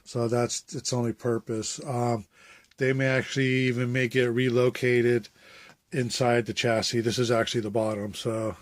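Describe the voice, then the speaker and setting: A man with a cold and a phlegmy throat, talking through a hobby build update in a halting, unscripted way with many fillers. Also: medium pitch, level tone, no room sound. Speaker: RC hobbyist showing his build.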